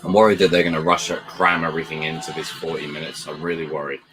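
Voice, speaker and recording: A man hosting a live watch party with a croaky morning voice, talking nervously, swearing and pleading. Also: a bass voice, level tone, quick pace, music in the background. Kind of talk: live reaction commentary.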